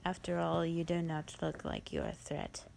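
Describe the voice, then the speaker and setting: A woman playing a bored, haughty, ancient female vampire in a roleplay audio, with a breathy, half-whispered, condescending drawl. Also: low-pitched, wildly swooping pitch, moderate pace, darkish tone, a little hiss or hum, heavily acted. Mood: disdainful. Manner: condescending, languid, theatrical drawl.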